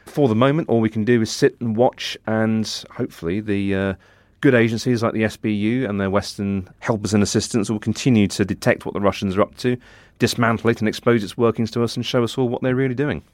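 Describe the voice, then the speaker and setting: A man giving measured analytical commentary in formal, articulate phrasing as a reporter. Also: lowish voice, fairly even intonation, a little mellow, no room sound. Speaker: technology reporter and podcast contributor.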